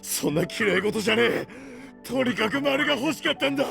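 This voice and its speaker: A man playing a rough, brooding young knight in a voice drama, gruff and anguished, proud yet tormented. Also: very high-pitched, expressive, fairly quick, pushed and bright, soft background music, full character voice. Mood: agitated. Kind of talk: anime-style voice drama acting.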